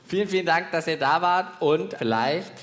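A man co-hosting a live science podcast for children, chatting in an informal, animated way. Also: fairly high pitch, moderate pace. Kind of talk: casual chat on a live children's show. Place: indoor live podcast festival stage. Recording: echoey live venue, faint noise.

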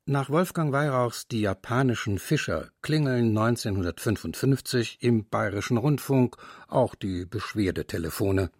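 A man narrating a radio documentary script with a crisp, clipped delivery into a close, dry studio mic. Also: medium pitch, animated, moderate pace, a little mellow, dry recording.

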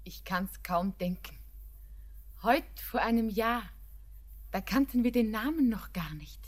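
A young actress playing a tender, happy bride-to-be in a classic radio play, speaking softly and lyrically. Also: female voice, a little high, lively intonation, measured pace.

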